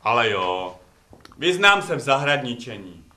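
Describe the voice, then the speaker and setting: An actor playing Aesop, an ugly, witty and impudent slave who tells tales, in theatrical stage comedy style. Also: male voice, medium pitch, sing-song, sharp tone. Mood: cheeky bargaining. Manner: theatrical, cheeky, declaiming. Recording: echoey theatre stage, distant microphone.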